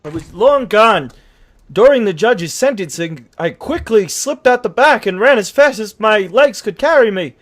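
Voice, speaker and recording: Cartoon horse outlaw played by a man: guilty, theatrical storyteller, high and very animated. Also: normal speed. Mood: dramatic narration. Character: jittery, guilt-ridden talking horse outlaw telling his tale. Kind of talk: dramatic fandub narration.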